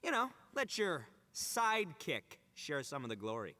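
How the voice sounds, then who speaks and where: A man's voice: a little high, huge pitch swings, measured pace, sharp tone, full character voice. A man playing a brash, boastful young gang boss in an anime game, cocky, jovial and swaggering. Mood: cocky, teasing. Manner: swaggering, boastful, laughs easily. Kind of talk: anime video game voice acting.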